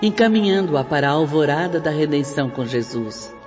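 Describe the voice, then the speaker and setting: A woman's voice: low voice, animated, brisk, darkish tone. An actress playing an earnest female spirit teacher in a radio drama, with formal, preaching diction. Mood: grave, admonishing. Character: Sister Doris, earnest female spirit teacher of moral re-education. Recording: dull reverberant recording with music.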